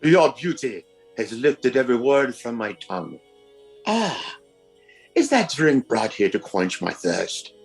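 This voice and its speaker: A man's voice: medium pitch, sing-song, slow, creaky, faint music behind, full character voice. A man acting as a languid, love-struck French king with flowery speech in an audio drama. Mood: amorous.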